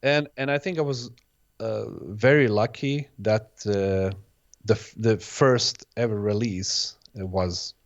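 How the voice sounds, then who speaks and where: Guest: native Bosnian-speaking man, lowish voice, halting and reflective, on a dull lo-fi recording. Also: steady tone. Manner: hesitant, restarts phrases, many "sort of" hedges. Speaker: guest, electronic music producer. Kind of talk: casual interview answers.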